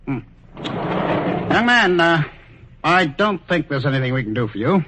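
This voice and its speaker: Fussy, higher-pitched radio-era bureaucrat. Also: male voice, sing-song, a little slow, background noise. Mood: brisk, fussy. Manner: pedantic, bureaucratic. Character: officious, fussy male clerk of a celestial bureau. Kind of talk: 1940s radio acting. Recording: dull vintage 1940s radio recording.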